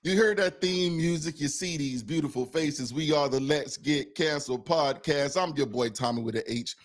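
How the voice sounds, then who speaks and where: A man's voice: a little high, moderate pace. A man co-hosting a podcast in a loose, casual way, often repeating and restarting his phrases. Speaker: podcast co-host.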